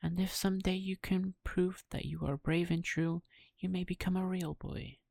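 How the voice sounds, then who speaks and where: A man voicing a gentle magical fairy, speaking softly and kindly in a bedtime story. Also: medium pitch, steady tone, moderate pace, vocal fry, no room sound, voice pushed up. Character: gentle magical blue fairy granting life.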